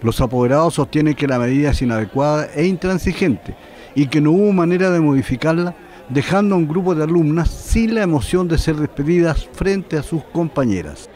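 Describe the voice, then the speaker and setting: A man narrating a local television news report in a formal, even reading voice. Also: on the high side, brisk, a faint crowd.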